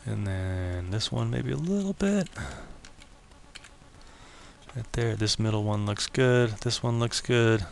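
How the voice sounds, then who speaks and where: A man giving a relaxed, step-by-step screen-recorded software tutorial, slightly losing his voice and clearing his throat now and then. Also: medium pitch, slow, pauses often, a little hiss or hum. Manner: relaxed, step-by-step explaining with casual fillers.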